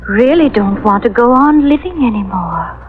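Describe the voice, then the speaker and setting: An actress playing a sinister murderess who feigns concern, insistent and theatrical, in an old radio drama. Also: female voice, on the high side, animated, moderate pace, close to an everyday voice. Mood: coldly coaxing. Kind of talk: 1950s radio drama acting. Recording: dull old radio recording.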